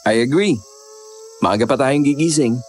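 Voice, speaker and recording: A man's voice: medium pitch, moderate pace, darkish tone, faint music behind, natural voice. A young man playing a practical, reassuring boyfriend in a horror audio drama, casual.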